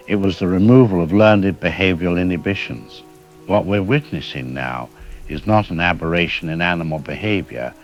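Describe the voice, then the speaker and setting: A man playing a weary, resigned scientist in an apocalyptic audio drama, giving a measured, academic monologue on a dull lo-fi recording. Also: low-pitched. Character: weary, resigned male scientist surviving the apocalypse, solemnly analytical.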